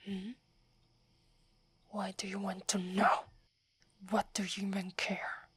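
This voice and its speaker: A woman's voice: mid-range voice, monotone, slow pace, fairly bright tone, slight background noise. A woman with an Italian accent voicing a prickly, proud sixteen-year-old female werewolf in a whispered ASMR roleplay. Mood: flustered. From Italy. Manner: whispering, haughty and scolding.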